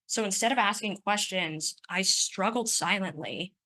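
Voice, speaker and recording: A woman's voice: medium pitch, a little slow, pushed and bright. A woman in her twenties giving a prepared personal talk, speaking with a stutter and occasional sound repetitions in an earnest, emphatic way. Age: 20s. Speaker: conference speaker.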